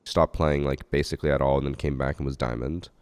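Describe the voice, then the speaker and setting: A hoarse man with a cough, casually analyzing gameplay clips as a gaming channel host. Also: very deep voice, flat delivery, quick pace, no room sound. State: hoarse from a cough, losing his voice. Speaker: gaming channel host reviewing submitted matches. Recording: game gunfire behind the voice.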